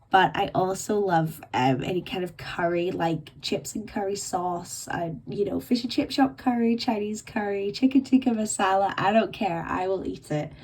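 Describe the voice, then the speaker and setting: A woman in her late twenties hosting her own podcast, rambling and self-mocking in casual chat, her voice croaky and bunged up from illness. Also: fairly low pitch, darkish tone. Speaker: podcast host. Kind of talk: casual chatty monologue.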